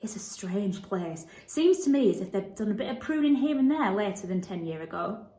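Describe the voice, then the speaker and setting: A woman's voice: medium pitch, lively intonation, normal speed, mellow, reverberant, natural voice. A woman voicing a cheerful, hearty Yorkshire country boy of about twelve, speaking in thee-and-thou dialect for a storybook. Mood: puzzled, thoughtful.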